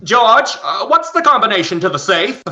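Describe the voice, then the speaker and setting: A man playing a forgetful, lovable uncle in a radio play, flustered and excitable. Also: high-pitched, normal speed, reverberant, dull audio, voice pushed up, exaggerated voice. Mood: flustered, cheerful.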